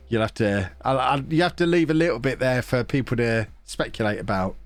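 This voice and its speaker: A man's voice: mid-range voice, normal speed, dry recording, soft background music. Chatty man streaming, blocked nose. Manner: chatty, joking, reads chat messages aloud, hums to himself. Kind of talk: casual live-stream chat while playing a football management game.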